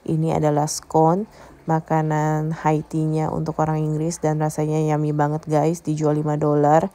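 A woman's voice: sits low, completely flat delivery, moderate pace, warm tone. A woman narrating a casual travel vlog tour, explaining things directly to viewers. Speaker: travel vlogger.

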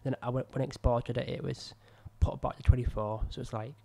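Croaky young man rambling about his film. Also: mid-range voice, fairly even intonation, fairly quick, mellow. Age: young adult. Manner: rambling, self-critical, many fillers. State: ill, croaky voice. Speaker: filmmaker reviewing his own work. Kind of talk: casual commentary over footage. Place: small room indoors at a desk. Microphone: studio mic on a boom arm close to mouth.

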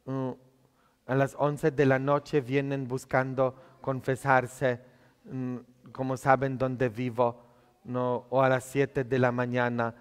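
A man's voice: mid-range voice, completely flat delivery, takes it slowly, smooth. A priest in his thirties, not a native Spanish speaker, preaching a conversational homily full of tag questions from the altar of a church. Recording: long church reverb.

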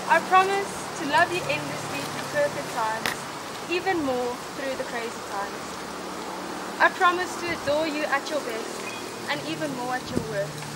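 A young woman reading her wedding vows aloud at an outdoor ceremony, playful and warm. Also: sits high. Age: young adult. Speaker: bride. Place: outdoor wedding under trees. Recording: loud outdoor noise.

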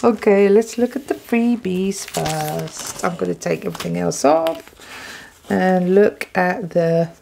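A woman with an English accent, casually chatting while unboxing a stationery haul at a tabletop, excitable and full of ums and restarts, her throat dry. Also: fairly low pitch, slow, vocal fry, slight background noise. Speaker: planner vlogger. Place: indoors at a tabletop.

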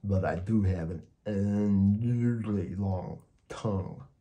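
A man's voice: lowish voice, warm tone. A man voicing a big scruffy male storybook monster, slurping and whiny, dragging out his words as he tries to sound scary. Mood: goofy menace. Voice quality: slurping, slobbery. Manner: drawn-out, whining, trying to sound terrifying.

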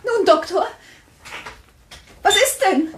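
High-voiced, fretful wife. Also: female voice, slow pace. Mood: alarmed. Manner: emotional, questioning. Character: fretful, insistent wife, quick to alarm. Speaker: actor as a wife. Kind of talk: German radio thriller acting. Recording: slight background noise with clicks.

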